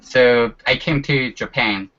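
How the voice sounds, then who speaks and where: A man's voice: medium pitch, slow, bright, forceful tone. A man in his forties whose first language is Korean, chatting casually as a guest and leaning on fillers like "you know". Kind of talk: casual chat. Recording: dull lo-fi sound.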